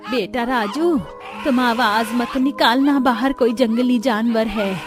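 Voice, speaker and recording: A somewhat high female voice over soft music, an actress playing a poor family's mother-in-law. She is cautious and fretful, a protective cartoon matriarch. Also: a little slow, close to an everyday voice.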